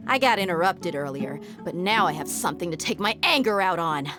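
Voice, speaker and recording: A woman's voice: fairly low pitch, animated, brisk, over music, close to an everyday voice. A woman voicing a bold, confident, hot-blooded fighter in a video game, talking casually and punchily. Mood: fired up. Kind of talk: video game character dialogue.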